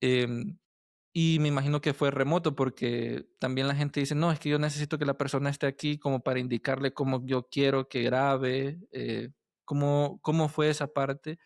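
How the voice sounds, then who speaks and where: A man hosting a casual podcast interview, halting as he searches for words and often checking with tag questions. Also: medium pitch, fairly even intonation, creaky, dry recording, studio quality.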